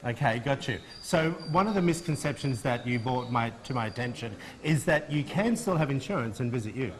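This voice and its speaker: Man, TV host, mid-range pitch, conversational interviewing, asking questions. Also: animated, brisk, poor audio.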